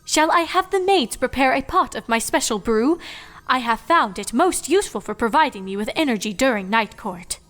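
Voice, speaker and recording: A woman's voice: a little high, expressive, normal speed, a bit bright, long reverb tail, voice pushed up. A woman voicing a regal pony princess of the night who speaks in a formal, old-fashioned way. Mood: formal, pleased. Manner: archaic, stately formal phrasing.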